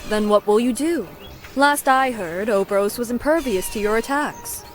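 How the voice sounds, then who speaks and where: A woman's voice: a little high, normal speed, natural voice. An actress playing a sardonic, impatient young female airship pilot in a video game cutscene, blunt and teasing, over music. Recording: faint music behind the voice.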